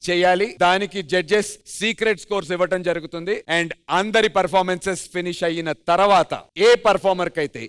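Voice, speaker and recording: High-pitched Indian man hosting on stage. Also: steady tone, a bit bright, clear, resonant tone. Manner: emphatic, drawn-out announcing. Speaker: host of a dance reality show. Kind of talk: stage presenting, mixing in English. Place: television studio stage. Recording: music behind the voice.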